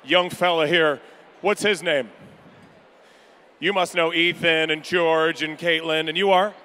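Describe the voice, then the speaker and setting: A man calling pledges at a charity gala in a banquet room, energetic and upbeat with rapid-fire banter to the crowd. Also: fairly high pitch. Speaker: charity auctioneer. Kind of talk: live fundraising pledge calling.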